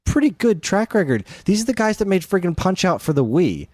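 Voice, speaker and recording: A man's voice: high voice, very animated, fairly quick, darkish tone, no echo, clean studio sound. A man co-hosting a podcast, chatting casually about games in an enthusiastic, rambling, informal way. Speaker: podcast co-host.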